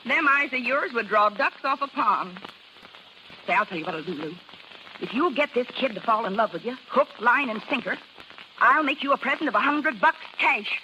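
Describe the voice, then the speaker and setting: A woman's voice: on the high side, animated, normal speed, sharp tone. An actress playing a bitter, jilted, scheming carnival showwoman with slangy, tough American talk, in 1930s radio drama style. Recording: noisy 1930s radio recording.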